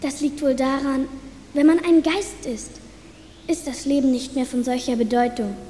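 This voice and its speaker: A performer voicing a gentle, lonely little boy ghost, hesitant and wistful, in a German radio play. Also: high-pitched, fairly even intonation, moderate pace, close to an everyday voice. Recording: echoey hall reverb, faint noise.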